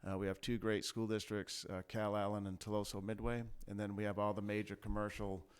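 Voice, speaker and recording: A man from city staff briefing a city council, measured and full of small pauses and restarts. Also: lowish voice, level tone, clean tone. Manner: measured, many fillers and restarts. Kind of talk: formal briefing. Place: council chamber.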